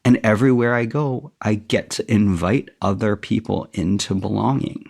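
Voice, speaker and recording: Interview guest: man, middle-aged, low voice with gravelly fry, reflective and candid. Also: moderate pace, a little mellow. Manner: thoughtful, candid, self-deprecating.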